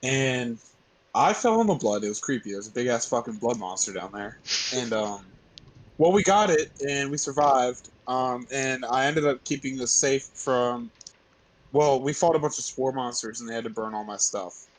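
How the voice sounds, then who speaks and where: A man playing a tabletop game, chatting casually, halting and correcting himself, sometimes swearing. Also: medium pitch, animated, no room sound, poor audio. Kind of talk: casual in-character game chat.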